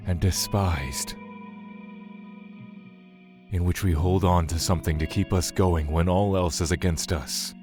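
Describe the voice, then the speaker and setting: An actor playing a bitter, grieving immortal spirit, speaking in a brooding, solemn way in an audio drama monologue. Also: male voice, deep voice. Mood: solemn, weary.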